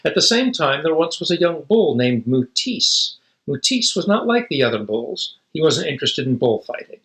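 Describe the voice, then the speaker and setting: A man's voice: on the high side, very animated, moderate pace, a bit bright, echoey room, poor audio. A man reading a children's picture book aloud expressively, with dramatic emphasis and casual asides. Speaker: storybook reader.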